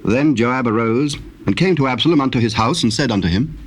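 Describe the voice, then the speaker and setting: Male narrator, British, solemn and measured, dramatized Bible reading, mid pitch, noisy old tape. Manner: formal, measured storytelling. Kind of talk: solemn scripted scripture narration.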